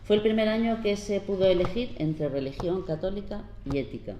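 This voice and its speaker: A woman in her fifties from La Mancha gives an earnest, unhurried public talk as a panel speaker, pausing often as she explains. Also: mid-range voice, expressive, mellow. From La Mancha, Spain. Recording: roomy hall with faint background noise.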